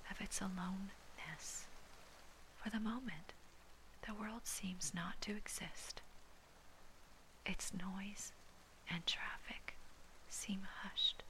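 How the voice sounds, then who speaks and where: Woman from Hawaii, whispered scripture reading and commentary, slow and hushed, gritty fry, crisp bright tone. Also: medium pitch, a little hiss or hum, poor audio.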